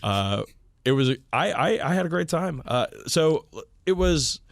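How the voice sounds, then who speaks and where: A man's voice: fairly high pitch, sing-song, measured pace, creaky, dry recording. Enthusiastic man chatting casually, dry close sound. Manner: enthusiastic, profane. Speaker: podcast co-host. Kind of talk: casual hobby chat about tabletop wargaming.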